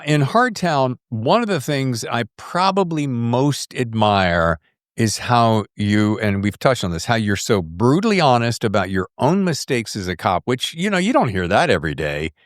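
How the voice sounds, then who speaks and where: A middle-aged man with an average-pitched, very animated voice hosts a casual interview. He talks informally and jokily, happy to swear and wander off on a tangent. The sound is dry, with no room around it. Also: no room sound. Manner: informal, jokey, salty-tongued, prone to tangents. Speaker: podcast host.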